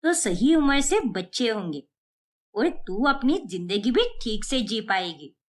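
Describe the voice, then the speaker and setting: A woman voicing a doting middle-aged mother in an animated storybook, speaking tenderly and fussing over her daughter. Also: a little high, measured pace.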